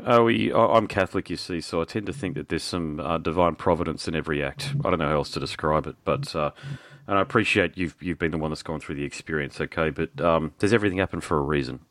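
A man's voice: extremely low pitch, quick pace, a bit bright, no room sound, studio quality. A man, hosting a podcast in a friendly, chatty way. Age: 40s. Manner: friendly, chatty, says mate and man a lot. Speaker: podcast host. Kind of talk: casual interview chat.

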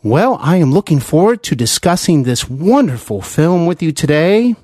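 A highish male host voice with very lively intonation, recorded dry and close. He delivers a scripted show introduction earnestly, leaning on key words. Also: normal speed, no room sound. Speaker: podcast host.

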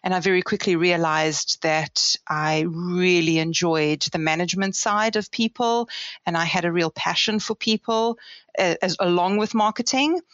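A woman's voice: on the low side, normal speed, fairly bright tone, no echo. South African woman, dull dry remote line. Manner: chatty, self-deprecating, laughs easily. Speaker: guest, marketing director. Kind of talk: casual interview talk.

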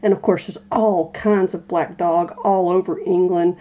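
A woman co-hosting a casual podcast, explaining things in a halting, thoughtful way. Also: lowish voice, steady tone, mellow. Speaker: podcast co-host.

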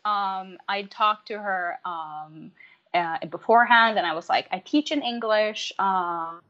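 A Norwegian-born woman who teaches yoga, speaking at a middling pitch in a thoughtful, halting way, with frequent ums as she gathers her words. The audio is a little dull and lo-fi. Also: pushed and bright. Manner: reflective, many ums and hesitations. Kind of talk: casual podcast conversation.

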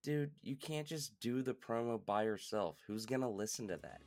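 A man's voice: mid-range voice, moderate pace, dry recording. A man co-host in scripted comic promo banter. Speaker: podcast co-host.

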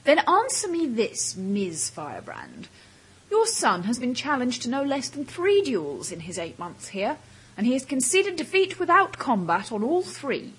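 A woman acting a formal, intense woman in a school confrontation in an audio drama, measured and breathy. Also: fairly high pitch, wildly swooping pitch, a bit bright, exaggerated voice, low-quality recording. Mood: cold indignation.

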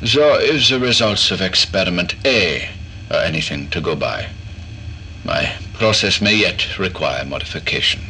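Man acting a deep, forceful, pompous doctor. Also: lively intonation, moderate pace, a little hiss or hum, heavily acted. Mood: clinical, measured. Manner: formal, clinical, theatrical. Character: eccentric, cold, pompous male doctor running experiments. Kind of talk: horror audio drama acting, recorded log narration.

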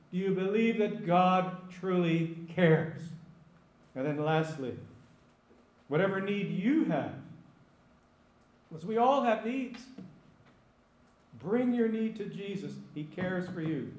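A man's voice: high voice, a little mellow. A man preaching a sermon as pastor, emphatic and repetitive, asking the congregation rhetorical questions. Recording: echoey room with faint ambient noise.

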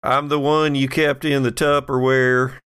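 A man's voice: medium pitch, level tone, unhurried, fairly bright tone, smooth, lightly acted. A man voicing a casual, slightly wry young man, once a creature of goo, in a tabletop game.

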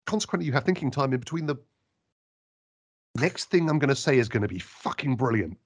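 Animated, emphatic man in conversation. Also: mid-range voice, a little fast, slightly rough. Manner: animated, emphatic, with frequent restarts. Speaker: radio interviewer. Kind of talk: conversational interview answers.

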